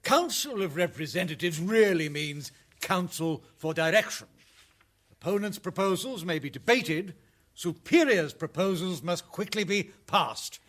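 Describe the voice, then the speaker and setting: A man's voice: high voice, lively intonation, a little slow, fairly bright tone, full character voice. A man playing a dutiful, self-satisfied parliamentary functionary in a satirical radio drama, speaking formally.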